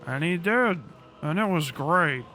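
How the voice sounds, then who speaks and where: A man's voice: fairly high pitch, expressive, measured pace, pushed and bright, a little hiss or hum. A man giving casual, crude and sardonic commentary while livestreaming a video game. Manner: crude, heavy swearing, sardonic asides. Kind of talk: casual gaming stream commentary. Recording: game music behind the voice. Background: video game music.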